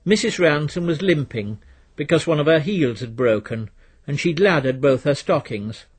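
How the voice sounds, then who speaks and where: A man reading a literary story as narrator in a measured, dry storytelling manner. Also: a little high, expressive, husky. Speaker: narrator, reader.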